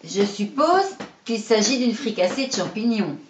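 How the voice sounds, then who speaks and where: A woman voicing a polite male TV interviewer who asks measured, formal questions. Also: a little high, big pitch swings. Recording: roomy, dull lo-fi sound with faint noise.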